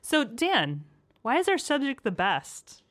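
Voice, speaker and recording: A woman teacher co-hosting a casual podcast, cheerful and quick to laugh. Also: high voice, wildly swooping pitch, fairly bright tone, faint music behind.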